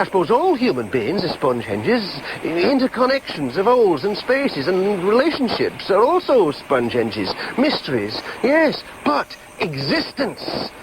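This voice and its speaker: A man's voice: high voice, noisy background, full character voice. An actor playing a rambling, rapturous man, musing in wonder on his own existence in a radio drama monologue.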